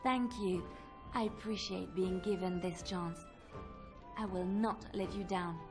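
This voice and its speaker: An actress playing a devout, gentle young believer in a fantasy game, with an earnest, pleading way of talking. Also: female voice, lowish voice, steady tone, unhurried, over music. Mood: sincere, compassionate.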